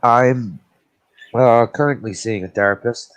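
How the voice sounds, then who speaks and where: Halting man in his forties. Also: medium pitch, muffled recording. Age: 40s. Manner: halting, repeats words. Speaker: guest. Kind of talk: casual chat.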